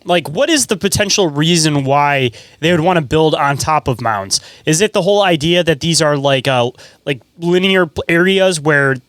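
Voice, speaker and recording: A man hosts a podcast in a somewhat high voice. He talks casually and thinks aloud in long, speculative runs. The recording is dry and free of room echo. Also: expressive, moderate pace, fairly bright tone. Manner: rambling, speculative, frequent "like". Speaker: podcast host. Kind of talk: casual conversational interviewing.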